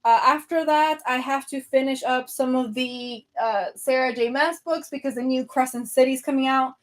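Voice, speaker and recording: A young woman with a Venezuelan accent talks to her viewers in a loose, rambling way, full of fillers and restarts. Her voice sits a bit high for a woman. She is at home, sorting books on her shelves as she talks. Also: normal speed. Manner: chatty, rambling, lots of fillers. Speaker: book vlogger. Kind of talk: casual chat with live viewers.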